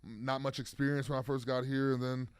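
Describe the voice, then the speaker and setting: A man's voice: mid-range voice, little pitch movement, fairly quick, clear, resonant tone, dry recording. A man in his thirties, a football player guest, talking casually in an interview with lots of fillers and restarts. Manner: rambling, many fillers and restarts.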